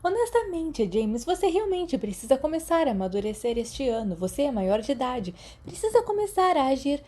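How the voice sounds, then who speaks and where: A woman with a Brazilian accent voicing a bossy, scolding teenage girl in an audiobook story, sharp and exasperated. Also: high voice, big pitch swings, moderate pace, voice pushed up.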